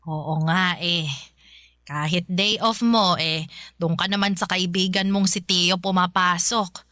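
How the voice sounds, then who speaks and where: An actress playing a caring, worried older mother who gently nags in a conversational radio drama style. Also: fairly low pitch, fairly even intonation, takes it slowly, dry recording, clean studio sound. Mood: gently nagging. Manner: conversational, fond, chiding with hesitant interjections.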